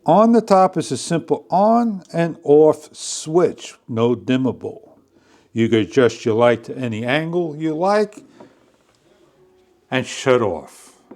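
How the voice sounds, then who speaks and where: A man narrating a step-by-step product demonstration in a deliberate, phrase-by-phrase instructional way. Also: fairly high pitch, expressive, a little mellow. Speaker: product reviewer.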